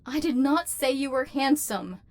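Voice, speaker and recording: Female robot overlord, acted by a woman, slightly high pitch, precise stilted diction, lonely, bitter, lovelorn, ASMR roleplay. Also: animated, unhurried, a little mellow. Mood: flustered, defiant. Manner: formal, precise, stilted diction with wounded feeling. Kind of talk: scripted ASMR roleplay acting.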